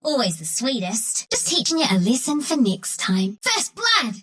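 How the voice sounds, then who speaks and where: A woman's voice: high voice, very animated, moderate pace, fairly bright tone, husky, full character voice. A woman voicing a cheeky, scrappy young squirrel-like bandit heroine in a video game, with a brash, playful, slangy way of talking. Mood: excited, cocky. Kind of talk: video game character voice lines.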